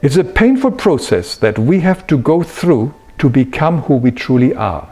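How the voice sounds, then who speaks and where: A man giving an explanatory spiritual talk in an earnest, emphatic manner with measured phrasing. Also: on the high side, very animated, warm tone, slightly rough. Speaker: spiritual teacher.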